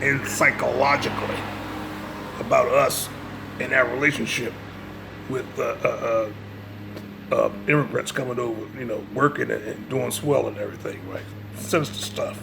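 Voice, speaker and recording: A man with a gravelly voice giving an informal religious lecture, part reading and part commentary, emphatic and repeating his phrases to press the point. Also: medium pitch, steady tone, normal speed, noisy background. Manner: emphatic, repeats phrases, checks listener's understanding. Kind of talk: informal religious lecture mixing read-aloud passages and commentary.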